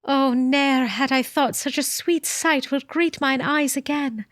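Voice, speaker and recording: A woman acts a brave, devoted maiden in a medieval audio drama. The voice is high and youthful, with earnest, melodramatic delivery of archaic lines. The sound is dry and close. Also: steady tone, a little slow, no room sound. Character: defiant, devoted young maiden of medieval England.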